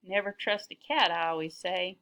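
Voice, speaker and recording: A woman's voice: lowish voice, normal speed, pushed and bright. A woman voicing a blunt, folksy old granny mouse in a storybook, hearty and feisty. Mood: grumbling.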